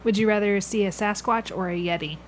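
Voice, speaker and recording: A woman's voice: mid-range voice, a little fast, slight background noise. A woman hosting a casual interview, asking questions in a conversational style. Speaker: podcast host, interviewer.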